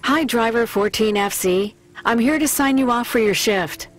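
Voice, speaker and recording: A woman plays a courteous female dispatcher in a game. Her voice sits fairly high, formal and businesslike, with faint music behind it. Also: normal speed, lightly acted. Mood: polite, businesslike. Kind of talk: scripted video game acting.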